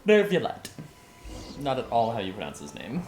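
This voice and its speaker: A man's voice: mid-range voice, huge pitch swings, normal speed, a little mellow, slight background noise, poor audio. A man giving offhand, wry commentary while playing a game on stream. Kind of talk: casual gameplay commentary.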